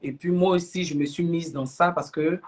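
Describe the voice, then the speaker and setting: A middle-aged woman with an Ivorian accent, speaking earnestly and deliberately as a guest in a livestream interview. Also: fairly low pitch, fairly even intonation, a little mellow. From Ivory Coast. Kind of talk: explanatory interview talk on a livestream.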